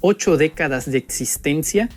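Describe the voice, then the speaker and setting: A man's voice: on the high side, moderate pace. A young man with a Mexican accent giving a pointed, sarcastic political monologue full of rhetorical jabs at an opponent. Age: young adult. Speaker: political commentator. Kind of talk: spoken opinion monologue addressed to an opponent. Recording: background music under the voice.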